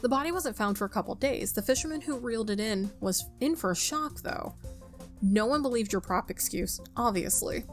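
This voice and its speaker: A woman's voice: fairly high pitch, lively intonation, a little fast. A woman hosting a gaming video, casually commenting and reading game text aloud in a chatty, playful way, close on the mic. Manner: chatty, self-talking, playful. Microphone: close microphone without pop filter. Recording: music behind the voice.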